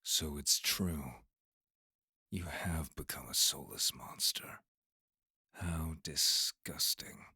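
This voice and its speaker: An actor playing a scornful young monster hunter, a haughty estranged brother, in an audio roleplay drama with a sneering, deliberate delivery. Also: male voice, a bass voice, bright, forceful tone, breathy edge, dry recording, studio quality, exaggerated voice. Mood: cold disgust.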